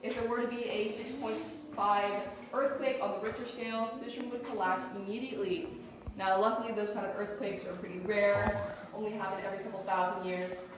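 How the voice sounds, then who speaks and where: A young woman guiding a cave tour, explaining the formations to a group in a measured, informative way. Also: medium pitch, fairly even intonation, noisy background. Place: large cave, indoors. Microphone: far camera held by a visitor. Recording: echoey cave recorded from far off.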